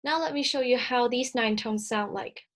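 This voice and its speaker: A woman whose first language is Cantonese, presenting a recorded slide lecture in a measured, explanatory way with frequent filler sounds. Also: fairly high pitch.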